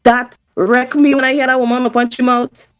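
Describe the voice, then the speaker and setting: A woman's voice: high voice, little pitch movement, fairly quick. A woman with a Trinidadian accent co-hosting a podcast, chatting casually and retelling her own reactions. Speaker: podcast co-host.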